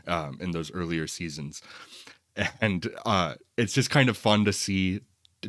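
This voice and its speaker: A man's voice: sits low, fairly bright tone, no room sound, clean studio sound. A man co-hosting a film podcast, chatting casually in an enthusiastic, rambling way. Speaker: podcast co-host reviewing films.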